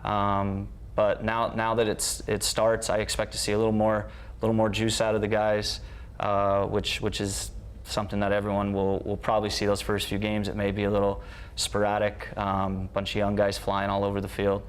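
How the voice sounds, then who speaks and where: Male coach, conversational interview style, smooth resonant voice, little pitch movement. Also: completely flat delivery, moderate pace. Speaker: soccer coach.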